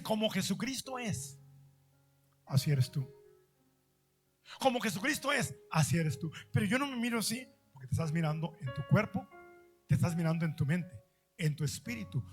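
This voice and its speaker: A man preaching with a high, ringing voice, pressing his points by repeating them and asking his audience questions. He swings from loud emphatic lines to slow, drawn-out quiet words. Faint instrumental music plays softly behind him. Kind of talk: sermon.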